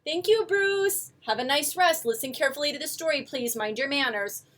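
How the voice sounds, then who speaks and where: A woman storyteller reading a picture book aloud to young children, with expressive, coaxing asides to her listeners. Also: high-pitched, brisk.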